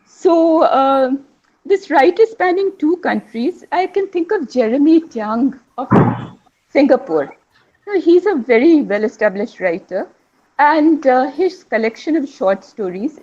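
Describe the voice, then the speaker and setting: A woman's voice: sits high, a little mellow, dull audio. A woman with a Bengali mother tongue, speaking as a panelist in a literary discussion, enthusiastic and rambling, often correcting herself mid-phrase.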